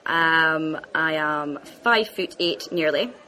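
A relaxed woman from northeast Scotland with a Scottish accent, introducing herself matter-of-factly. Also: deep voice, takes it slowly, a little hiss or hum, rough recording. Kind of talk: self-introduction.

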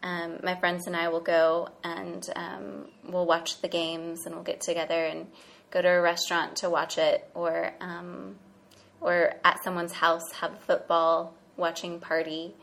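A woman's voice: on the low side, completely flat delivery, a little slow, vocal fry, fairly bright tone. Hesitant young woman from Oklahoma. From Oklahoma, United States. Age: young adult. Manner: hesitant, many ums. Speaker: university graduate. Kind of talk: casual chat.

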